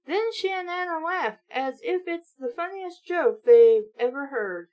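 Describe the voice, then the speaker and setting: A woman reads as an insecure twelve-year-old schoolgirl telling her own storybook tale. The voice is high, aggrieved and self-pitying. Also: expressive, unhurried, dull audio.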